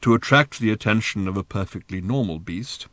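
A man's voice: on the low side, brisk, a bit bright, no echo, lightly acted. A man voicing a pompous, pious old village holy man, making grave, ceremonious pronouncements in an audiobook. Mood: instructive. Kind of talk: audiobook character voice.

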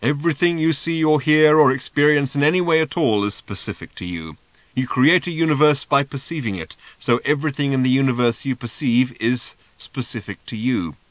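A man voicing a cryptic, mournful old male seer who delivers slow, drawn-out, sing-song pronouncements. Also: medium pitch, no room sound, natural voice.

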